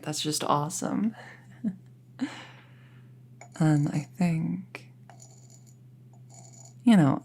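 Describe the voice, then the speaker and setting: Woman doing a laid back young witch chatting softly in an audio roleplay, low n gravelly, kinda breathy. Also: heavily acted. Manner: casual, musing, softly breathy, often near a whisper. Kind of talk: intimate roleplay audio, soft rambling monologue.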